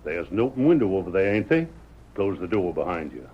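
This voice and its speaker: An actor playing a gruff, bossy, loyal cowboy with a folksy American Western drawl in an old-time radio western. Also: male voice, low-pitched, big pitch swings, fairly quick. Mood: bossy, urgent. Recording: dull, noisy old radio recording.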